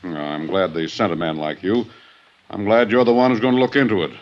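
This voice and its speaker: An actor playing a self-assured, insistent older American business executive in a 1950s radio drama, speaking in a formal, emphatic manner. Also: male voice, sits low, steady tone, a little fast, natural voice. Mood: insistent, certain. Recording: dull, band-limited old radio recording.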